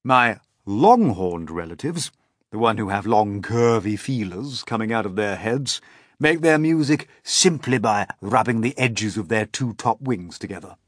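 A man's voice: lowish voice, lively intonation, unhurried, no echo, clean studio sound. A man doing a proud old grasshopper. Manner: kindly, lecturing, fond of his own skill. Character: old gentle male grasshopper, proud and learned.

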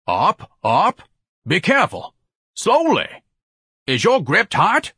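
Casting: bombastic, paranoid large man; grandiose audiobook character, husky, swooping delivery, raised pitch. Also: sing-song, normal speed, bright, forceful tone, no echo, studio quality, full character voice. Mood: anxious barking. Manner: pompous, ornate, declamatory. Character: pompous, bellowing, paranoid large man, grandiose and easily panicked.

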